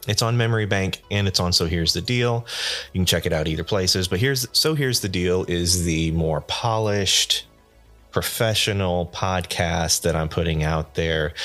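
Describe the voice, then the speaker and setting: A man's voice: low voice, fairly bright tone. A man hosting a solo podcast off-script, rambling casually with self-deprecating asides, sounding tired and a little nasally. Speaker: podcast host.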